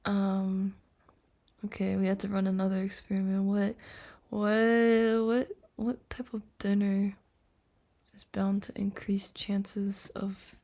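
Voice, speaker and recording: A woman live streamer chatting casually and playfully to viewers in a soft, breathy voice that often drops into a whisper. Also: mid-range voice. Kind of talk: sleepy casual livestream chat to viewers.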